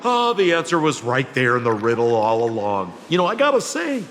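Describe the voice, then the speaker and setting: Wry, swooping reverberant game character. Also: male voice, medium pitch, wildly swooping pitch, a little fast. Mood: wry realization. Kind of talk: video game voice acting. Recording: long reverb, noisy ambience behind.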